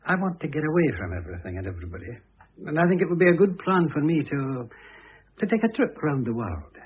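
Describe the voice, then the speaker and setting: An actor playing a self-important, self-pitying middle-aged divorced husband, pompous and long-suffering, in radio drama style. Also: male voice, on the high side, very animated, quick pace, husky. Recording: muffled lo-fi old radio recording.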